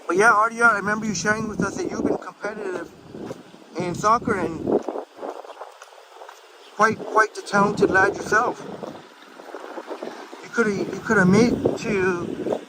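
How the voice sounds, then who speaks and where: A man with a high voice strolls city streets while hosting a livestream, rambling loosely and reading viewer comments aloud. Wind noise rushes across the mic as he walks outdoors. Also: steady tone. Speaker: livestream host. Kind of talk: casual walking livestream chat.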